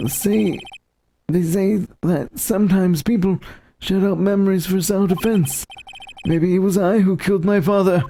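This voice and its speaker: Haunted, slow-speaking lawyer. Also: male voice, high-pitched, dark tone, slight background noise. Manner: halting, dramatic delivery. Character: haunted, anguished male lawyer reliving a childhood trauma. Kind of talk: dramatized video game dialogue.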